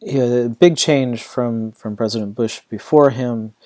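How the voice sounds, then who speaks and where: A man's voice: mid-range voice, measured pace, darkish tone. Rambling man hosting a podcast. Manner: rambling, many restarts and "you know" fillers. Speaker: podcast host. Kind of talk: casual solo podcast commentary.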